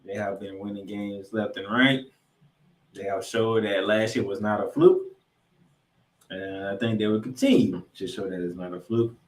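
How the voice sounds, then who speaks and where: A man hosting a sports podcast, giving excitable football commentary in an announcer-like style with drawn-out, emphatic phrases. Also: on the low side. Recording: echoey room, music underneath.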